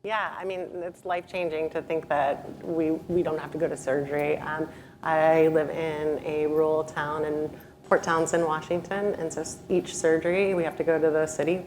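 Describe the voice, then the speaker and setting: A woman speaking on a panel as a parent, brisk and down-to-earth. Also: deep voice, a little mellow, slight background noise, poor audio. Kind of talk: panel discussion answer.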